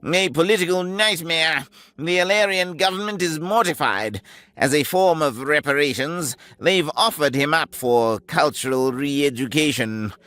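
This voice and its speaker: A man's voice: fairly high pitch, a little slow, sharp tone, no echo, voice pushed up. A man voicing a stern army major who gives clipped, commanding orders in a raised voice.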